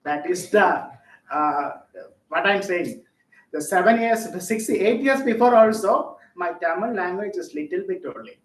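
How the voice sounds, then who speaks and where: A man from Kerala with a Malayali accent and Malayalam as his first language, introducing himself as a guest at a club meeting, pausing often as he searches for words. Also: high-pitched, expressive. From Central Kerala, India. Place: meeting hall. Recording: echoey hall, distant mic.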